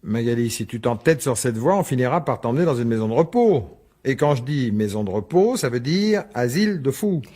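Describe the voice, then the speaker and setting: An actor playing a middle-aged, protective father in a French radio drama, husky, halting and emphatic. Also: medium pitch, lively intonation, dark tone, natural voice. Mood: sceptical, stern. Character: hesitant but protective father, quickly indignant.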